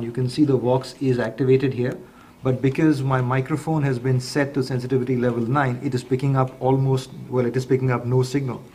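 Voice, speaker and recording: A man giving a measured, methodical, step-by-step explanation as the presenter of a product tutorial. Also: medium pitch, darkish tone. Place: indoors at a table in a room. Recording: clearly noisy room recording.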